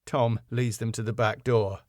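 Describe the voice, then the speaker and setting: A man's voice: medium pitch, steady tone, normal speed, no echo. Dry-recorded man narrating a script. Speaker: writer and narrator. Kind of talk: scripted narration of stage directions.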